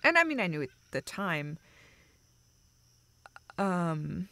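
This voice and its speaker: A woman acting a self-deprecating, dryly sarcastic young woman confiding haltingly in a close friend, in an intimate, naturalistic audio roleplay. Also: on the low side, dry recording, heavily acted. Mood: deflated, awkward. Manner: hesitant, halting, deadpan joking.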